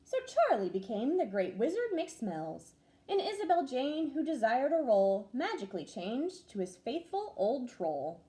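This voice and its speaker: A young woman, a first grade teacher, reading a rhyming picture book aloud with expressive, sing-song storytelling. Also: sits high, a little slow.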